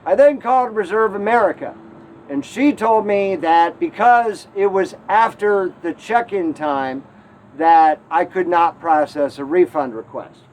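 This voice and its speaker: A man's voice: high voice, a little slow, a little mellow, slight background noise, low-quality recording. A man from Connecticut hosting an RV travel show, telling the story in a wry, mildly aggrieved way.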